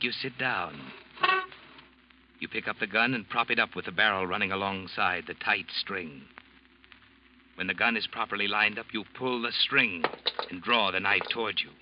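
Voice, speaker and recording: An actor playing an ominous, insinuating narrator who taunts a guilty schemer in old-time radio suspense style. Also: male voice, sits low, moderate pace, pauses often, background noise, natural voice. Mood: ominous, taunting. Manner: insinuating, taunting second-person address. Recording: muffled old radio recording with loud music.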